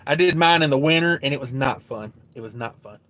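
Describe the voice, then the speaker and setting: A man's voice: mid-range voice, fairly quick, a bit bright, dry recording. A man hosting a trucking livestream, rambling casually with lots of fillers while reading out viewer comments, his throat getting dry. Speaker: truck driver livestream host.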